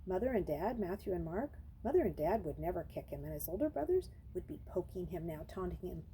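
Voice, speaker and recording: Very mellow woman reading a story aloud. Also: on the low side, sing-song, a little fast, a little hiss or hum, poor audio. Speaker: reader of a children's novel. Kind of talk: read-aloud storybook narration.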